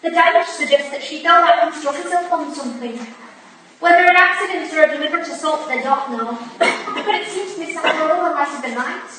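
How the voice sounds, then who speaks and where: A woman's voice: sits high, fairly quick, fairly bright tone. A woman acting an earnest, eager young Victorian protege in a staged play reading, heard in an echoey room. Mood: curious. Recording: very echoey, distant room recording, faint noise.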